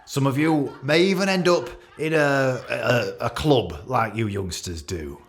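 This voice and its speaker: A man's voice: medium pitch, lively intonation, measured pace, slight background noise. A man playing an awkward, catty office boss with a British accent, giving a hesitant, rambling, jokey leaving speech in a radio play. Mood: fond, rambling. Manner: hesitant, repetitive, jokey with barbed asides.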